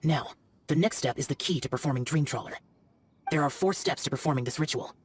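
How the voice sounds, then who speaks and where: A man playing a stern, aloof, youthful immortal demon slayer in a video game, with solemn, formal, measured delivery. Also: fairly high pitch, a little hiss or hum, natural voice. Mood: solemn instruction. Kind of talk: scripted video game dialogue.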